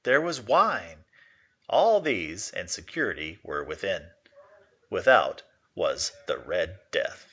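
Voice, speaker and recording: A man's voice: deep voice, very animated, slow, fairly bright tone, slightly rough. A man reading aloud expressively in the manner of a teacher giving a literature lesson, on a slightly dull recording.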